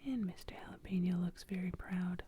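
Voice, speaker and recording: Woman ASMR storyteller, slow low whisper, faint hum behind. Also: mellow.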